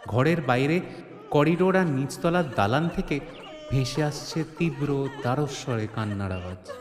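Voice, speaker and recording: A man's voice: mid-range voice, expressive, normal speed. A man narrating an audio drama as a suspenseful, theatrical storyteller. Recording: echoey, long reverb, music behind. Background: music.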